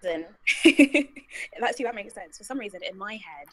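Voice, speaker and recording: A woman's voice: mid-range voice, animated, moderate pace, a bit bright, no room sound. A woman giving casual, chatty feedback as a panelist, acting out little quoted asides.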